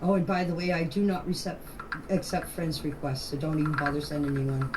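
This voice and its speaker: A woman's voice: sits low, level tone, a little fast. A woman recounting events informally, in a blunt, defensive and emphatic manner. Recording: faintly noisy voice-message recording.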